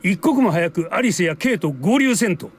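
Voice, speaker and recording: A man playing a gruff, impatient old man in a Japanese video game, with old-fashioned turns of phrase. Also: sits high, expressive, rapid-fire, background noise. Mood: annoyed, urgent. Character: gruff elderly man, impatient and worried for a child.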